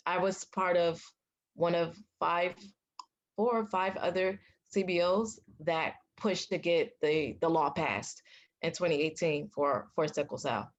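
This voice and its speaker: A young woman from the United States, a patient advocate, telling her story in a casual interview, outspoken and animated. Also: lowish voice, a little slow, dull audio. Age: young adult. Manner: animated, acts out quoted dialogue; loud, outspoken.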